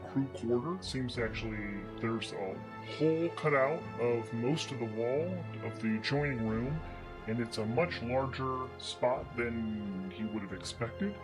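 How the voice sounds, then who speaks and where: A man's voice: lowish voice, poor audio. A man narrating a solo tabletop game as host and game master, in a conversational storytelling manner.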